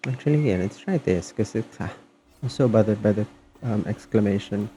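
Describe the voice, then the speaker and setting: A man giving casual, somewhat halting gameplay commentary as he streams. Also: on the low side, lively intonation, warm tone. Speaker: game streamer. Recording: faint background noise.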